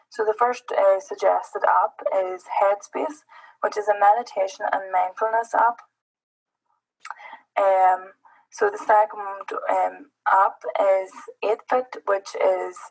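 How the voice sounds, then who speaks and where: A woman's voice: mid-range voice, gravelly, poor audio. A woman from Northern Ireland with a Northern Irish accent, walking through an app's screens in a hesitant presentation full of ums. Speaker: presenter demonstrating an educational app.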